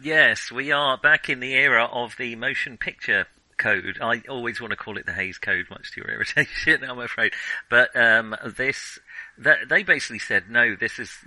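A man's voice: mid-range voice, normal speed, pushed and bright, no echo, poor audio. A man co-hosting a film podcast in casual chat, who laughs at his own slips. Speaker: podcast co-host.

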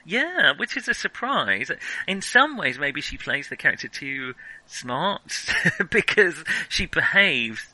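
A man hosting a casual film discussion, talking in a hesitant, halting way as he thinks aloud. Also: a little high, very animated, pushed and bright, no echo, poor audio. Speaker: film podcast host.

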